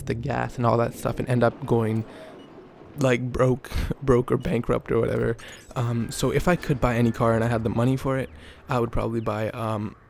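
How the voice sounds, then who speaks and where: A young man from Canada with a gravelly, just-woken-up rasp, wandering through answers with restarts and deadpan jokes. His pitch sits in the middle, and a faint hiss of room ambience runs under the voice. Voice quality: raspy, just woke up. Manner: rambling, self-correcting, joking. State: just woke up. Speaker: YouTuber. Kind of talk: casual Q and A vlog.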